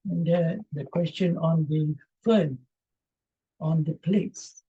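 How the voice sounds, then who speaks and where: A man from Malaysia answers audience questions over a video call, repeating each question aloud and hedging with fillers. His voice is mellow and a little husky. The call audio sounds dull and lo-fi. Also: on the high side, takes it slowly. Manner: reads out chat questions, answers with hesitant fillers. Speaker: presenter. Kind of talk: Q&A after an online talk.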